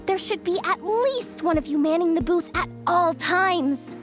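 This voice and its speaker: A woman voicing a small, fussy floating companion in a video game, with a squeaky childlike voice over music. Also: a little slow. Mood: watchful, scolding. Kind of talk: English video game voice acting. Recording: music playing behind the voice.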